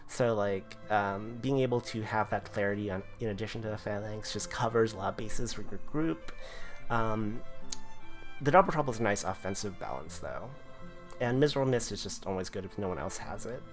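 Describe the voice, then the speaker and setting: A man reviewing a game class in casual video commentary, his voice going hoarse, rambling with lots of fillers. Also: fairly low pitch, a little fast, soft background music. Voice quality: gravelly, voice wearing thin. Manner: rambling, filler-heavy explanations. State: losing his voice.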